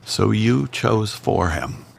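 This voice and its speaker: A man reading the part of a stern wealthy mother who disapproves of her son's partner, in slow, cold, measured lines. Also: fairly low pitch, expressive, vocal fry, husky, no echo, pitched above the performer's own voice, exaggerated voice.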